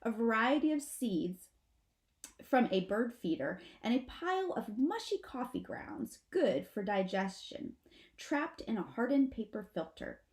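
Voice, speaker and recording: A woman narrating a children's book aloud in an expressive, measured, dramatic storytelling manner. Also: on the high side. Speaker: storybook reader.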